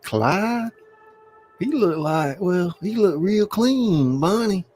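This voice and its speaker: Man putting on a self-important rustic farmer, high-pitched. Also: expressive, takes it slowly, a little mellow, soft background music. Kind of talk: screenplay reading in character.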